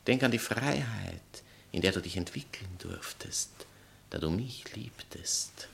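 Actor as a gravelly, pompous bohemian writer. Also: male voice, fairly low pitch, lively intonation, a little slow, a bit bright, exaggerated voice. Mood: urgent, pleading. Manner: declamatory, sarcastic, self-important. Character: pompous, theatrical male bohemian writer, jilted former lover. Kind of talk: stage satire acting in literary German.

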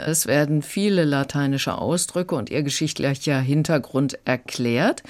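Woman reviewing audiobooks on radio. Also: low-pitched. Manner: calm, measured recommending. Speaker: audiobook critic.

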